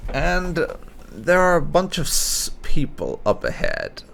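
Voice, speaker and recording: A man's voice: a little high, huge pitch swings, takes it slowly, gravelly, a bit bright, slight background noise. A man giving casual, sweary and wry commentary as the host of a gaming video.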